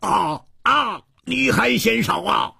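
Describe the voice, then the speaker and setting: A man voicing a hot-headed, indignant martial arts fighter in a wuxia audiobook, with blustering challenges and a husky voice. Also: very high voice, wildly swooping pitch, moderate pace, a bit bright, dry recording, studio quality, pitched above the performer's own voice, full character voice. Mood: outraged. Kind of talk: Chinese wuxia audiobook acting.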